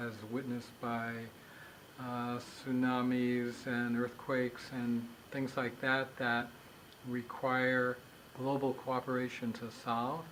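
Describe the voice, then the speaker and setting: An older man, the director of an organization, explaining global issues in a measured way with frequent pauses, filmed in an indoor office. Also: medium pitch, fairly bright tone, slight background noise, rough recording. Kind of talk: explanatory interview talk.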